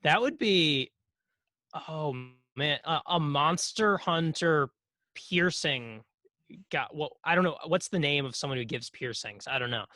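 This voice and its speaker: A man's voice: on the high side, unhurried, pushed and bright, no room sound. A man co-hosting a podcast in casual comedic chat, rambling and hesitant, with dry jokes. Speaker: podcast co-host.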